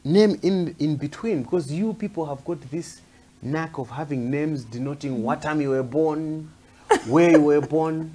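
A man's voice: a little high, measured pace, warm tone. A man in his thirties hosting a conversational TV interview with a playful, teasing manner. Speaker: TV interview host. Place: indoor sitting room. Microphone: lapel mic.

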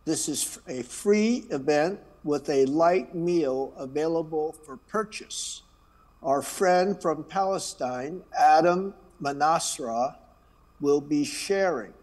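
A man speaks slowly from the front of a church sanctuary, reading announcements and leading the call to worship. His voice sits a little high for a man, and his manner is unhurried and friendly, with small asides. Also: darkish tone. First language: Chinese.

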